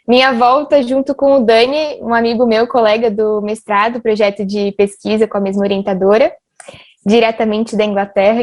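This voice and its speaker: A woman with a somewhat high voice and a Brazilian accent. She speaks as the host of a casual online conversation. Her manner is informal and friendly. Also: darkish tone.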